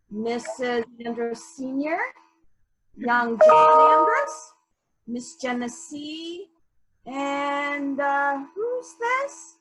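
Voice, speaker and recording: A woman's voice: sits high, a bit bright, heavily acted, poor audio. A woman playing a probing, methodical female police detective in a dramatic reading of a murder mystery, musing and questioning as she goes. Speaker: actor in a library's dramatic reading.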